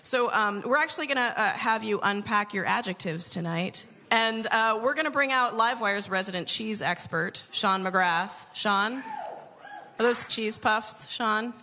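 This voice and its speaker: A woman's voice: medium pitch, measured pace, bright, forceful tone. A woman hosting a live variety show on stage in front of an audience. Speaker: radio show host.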